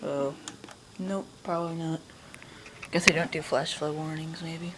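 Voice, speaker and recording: A woman's voice: low-pitched, steady tone, a little slow. Casual American woman, noisy amateur recording. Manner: informal, slangy exclamations and remarks. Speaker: amateur recording a weather radio alert. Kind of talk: casual spontaneous chat. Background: clear background noise.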